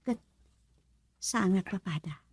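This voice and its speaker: An actress playing a young woman who works at a club, asking her father lively questions. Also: mid-range voice, takes it slowly, slightly rough, no echo, studio quality. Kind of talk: radio drama acting.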